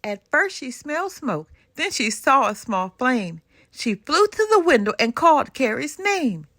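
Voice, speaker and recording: An older woman reading a children's storybook aloud in an expressive, sing-song way. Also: high voice, no room sound, studio quality. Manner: animated, unhurried sing-song rhyming delivery. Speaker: storybook reader.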